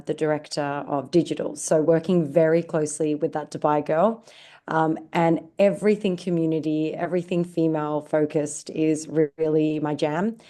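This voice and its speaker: Australian woman, low and warm, relaxed conversational podcast guest. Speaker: guest, marketing director. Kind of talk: podcast interview.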